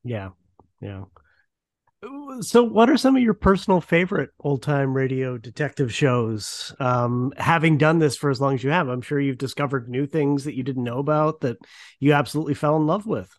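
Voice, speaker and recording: A man's voice: mid-range voice, animated, moderate pace, dry recording, clean studio sound. A man hosting a podcast, chatting casually as he interviews. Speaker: podcast host.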